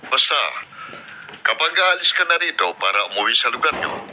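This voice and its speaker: A man's voice: mid-range voice, big pitch swings, normal speed, bright, forceful tone, low-quality recording. An actor playing a kindly, concerned older country man in a Filipino radio drama, earnest and questioning. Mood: kindly, reassuring. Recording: radio broadcast with faint noise.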